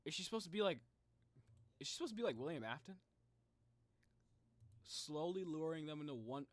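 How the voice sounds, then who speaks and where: A man doing casual live reaction commentary as a streamer, excitable and slangy, often repeating his phrases. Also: a little high, brisk, no room sound. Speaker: streamer reacting to game videos.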